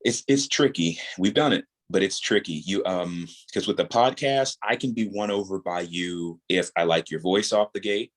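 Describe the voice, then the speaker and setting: Man, thirties, deep-pitched voice, casual interview guest, explanatory, emphatic about his points. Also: moderate pace, hardly any pauses. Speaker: audio drama creator, podcast guest.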